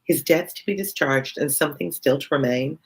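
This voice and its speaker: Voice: mid-range voice, fairly quick, husky. A middle-aged tutor from the United States reads a classic novel aloud, then chats playfully with live viewers. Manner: chatty and playful with live viewers, self-deprecating. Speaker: tutor hosting a live read-along.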